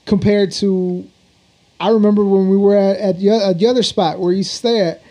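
A male host chats informally through an interview. His voice sits quite high for a man and has a soft, mellow, dark tone, with slangy phrasing. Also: fairly even intonation, normal speed, highs cut off. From Houston, Texas. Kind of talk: casual interview chat.

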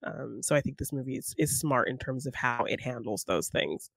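A man's voice: mid-range voice, expressive, moderate pace, creaky, fairly bright tone, no echo, studio quality. A man co-hosting a podcast, talking through films in a casual, conversational style. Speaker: podcast co-host. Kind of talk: casual film discussion.

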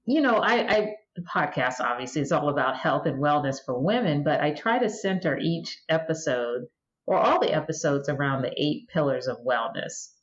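Lower-voiced woman, podcast host, encouraging, dull lo-fi sound. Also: lively intonation, normal speed. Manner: encouraging, eager, repeats words. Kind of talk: enthusiastic podcast hosting.

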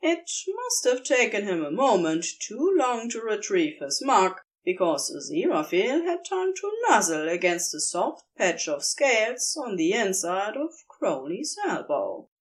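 A woman reading a piece of fan fiction aloud, narrating in an unhurried, expressive storytelling manner. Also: mid-range voice. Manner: slow, expressive storytelling with lively intonation. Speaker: podfic reader.

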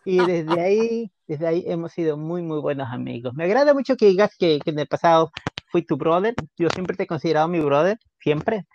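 A woman's voice: on the low side, expressive, fairly quick, a little mellow, dull audio, slight background noise. A woman from Ecuador with a Guayaquil accent, a guest on a podcast, talking in a friendly, open and casual way. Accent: Guayaquil Ecuadorian Spanish. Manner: friendly, open, laughs easily. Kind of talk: casual conversational interview.

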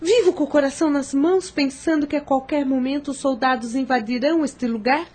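A woman's voice: sits high, fairly quick, darkish tone, close to an everyday voice. An actress with a Brazilian accent playing an anxious, fretful mother in hiding in a radio drama. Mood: nervous.

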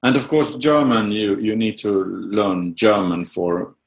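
A man's voice: fairly low pitch, fairly even intonation, slow, vocal fry. A middle-aged man whose first language is Dutch, answering interview questions about his research with many fillers and restarts. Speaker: music researcher, interview guest.

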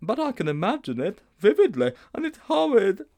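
A man voicing a small, timid schoolboy in a storybook, dithering and fretful with a hesitant, drawn-out delivery. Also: high-pitched, wildly swooping pitch, mellow, voice pushed up, heavily acted.

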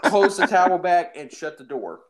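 A man's voice: sits high, sing-song, moderate pace, crowd noise. A young man casually telling an anecdote in an informal, jokey, exaggerating way. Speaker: storyteller in a podcast-style phone chat.

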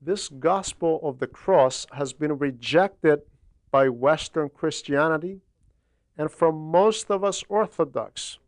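A man reading a religious homily aloud in a deliberate, teacherly manner, pausing often between phrases. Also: a little high, no room sound. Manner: deliberate, didactic, with frequent pauses between phrases. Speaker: homily reader and translator.

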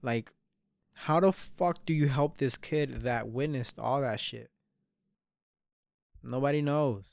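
Young guy in his twenties podcasting alone, open and sweary, lots of restarts, smooth clean voice, dry close sound. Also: mid-range voice, takes it slowly, long pauses, no echo. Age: 20s. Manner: candid, swears often, trails off and restarts. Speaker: podcast host. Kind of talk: solo reflective podcast monologue.

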